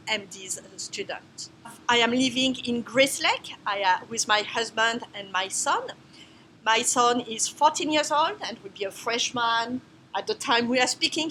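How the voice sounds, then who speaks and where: Woman, french accent, bright and higher pitched, careful phrasing, faint background hiss. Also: low-quality recording. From France. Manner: careful, non-native phrasing. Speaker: biology professor. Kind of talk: course introduction video.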